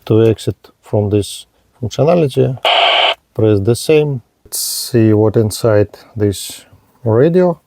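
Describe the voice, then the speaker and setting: Slow, careful man demoing a radio. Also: fairly low pitch, gravelly, mellow, slightly rough, dry recording. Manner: halting, careful, with pauses and fillers. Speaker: radio reviewer. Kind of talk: slow hands-on product demo narration.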